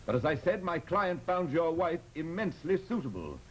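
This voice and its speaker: A creepy polite middleman in an old radio horror show, very animated pitch, formal and insistent, lots of hiss and noise. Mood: cool, smooth. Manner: formal, measured, coolly insistent. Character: suave, sinister male agent for a mysterious wealthy client. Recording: noisy old radio recording.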